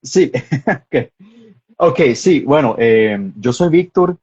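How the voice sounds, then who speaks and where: A man's voice: mid-range voice, lively intonation, extremely slow, a little mellow, slightly rough, highs cut off. A man who grew up in Texas, with an American-tinged accent, chatting casually as an interview guest and often searching for his words. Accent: American-tinged Spanish, from Texas. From born in Costa Rica, grew up in Texas, USA. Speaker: guest filmmaker. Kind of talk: casual interview, personal storytelling.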